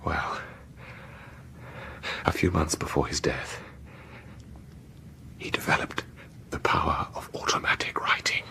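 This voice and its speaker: An actor playing a frightened, haunted British gentleman scientist in a radio horror drama, halting and stammering with a breathy voice. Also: a bass voice, fairly even intonation, whispered, exaggerated voice. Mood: hushed, intense. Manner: halting, stammering, repeating words in dread.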